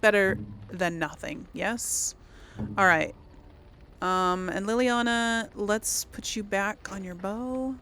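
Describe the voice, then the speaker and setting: A woman gives relaxed, rambling game commentary at a slow pace. Her voice has plenty of creak, and she thinks aloud as she goes. Faint noise and game ambience sit behind her. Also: medium pitch. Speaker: gaming video host. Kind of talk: casual let's play commentary.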